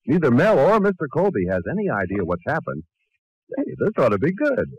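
A man's voice: a little high, wildly swooping pitch, brisk, slightly rough. A man announcing and narrating a 1940s radio comedy from a script. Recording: dull lo-fi 1940s radio recording.

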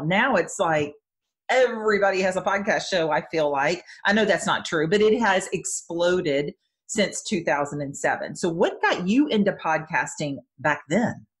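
A Southern American woman hosting a podcast interview, talking in a chatty, enthusiastic way. Her voice sits a little low and has a husky edge. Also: fairly bright tone. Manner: chatty, enthusiastic, quick asides. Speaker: podcast host. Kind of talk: casual interview chat.

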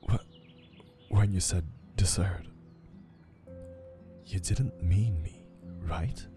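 A man playing a playful, flustered, affectionate young boyfriend in an ASMR roleplay, speaking intimately and breathily, often near a whisper. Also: low-pitched. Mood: flustered, breathy.

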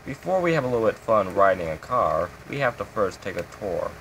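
Man, channel host, casual game tour, rambling with fillers, fairly low voice, faint background hiss. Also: very animated, brisk, a bit bright, low-quality recording. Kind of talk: casual build tour commentary.

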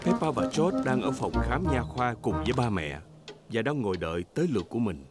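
A woman's voice: extremely low pitch, very animated, fairly quick, loud music behind the voice. A woman reading scripted narration for a young children's cartoon.

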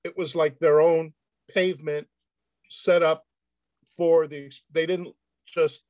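A man with a fairly high voice answers questions on a panel. He speaks informally and haltingly, often searching for words. The sound is dry and close, from an indoor video call. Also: level tone, a little mellow, no room sound. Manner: halting, hesitant delivery.

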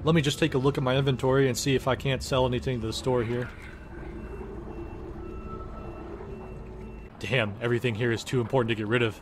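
A man's voice: medium pitch, flat delivery, rapid-fire, background noise, lightly acted. A man voicing a sarcastic, foul-mouthed space engineer in a comedic game parody, blunt and exasperated.

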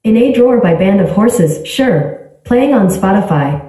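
A synthetic woman's voice from a smart speaker, giving even, polite, clipped replies. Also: medium pitch, measured pace. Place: small tiled bathroom. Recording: echoey bathroom, heard from a distance.

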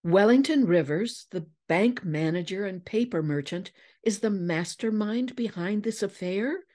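A woman's voice: on the low side, animated, slow, dark tone. A woman voicing a proud, composed lady investigator in a storybook, speaking formally and with poise. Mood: firm, questioning.

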